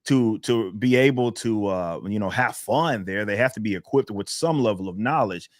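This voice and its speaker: Rambling male host, deep dry voice. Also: no room sound. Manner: rambling, halting, many restarts. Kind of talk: casual interview chat.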